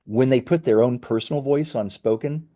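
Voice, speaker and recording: A man being interviewed as a company founder, explaining things in a measured, conversational way. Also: medium pitch, mellow.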